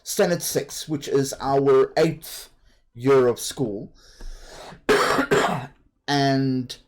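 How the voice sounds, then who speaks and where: A man's voice: mid-range voice, extremely slow, a little mellow. A man from South Africa with a South African accent, chatting in a rambling way while streaming a game and laughing easily. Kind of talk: casual chat while playing a game.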